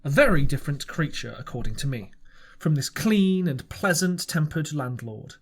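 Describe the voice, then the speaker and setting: Male audiobook narrator, earnest first-person reading, lively melody, occasional self-corrections. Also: on the high side, normal speed, breathy edge. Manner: earnest, expressive, with small restarts. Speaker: audiobook reader.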